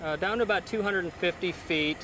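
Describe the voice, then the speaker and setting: A man's voice: sits high, fairly quick, pushed and bright, a little hiss or hum. A man appearing as a marine electronics retailer, answering in a matter-of-fact way with some hesitant fillers. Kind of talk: TV interview answers.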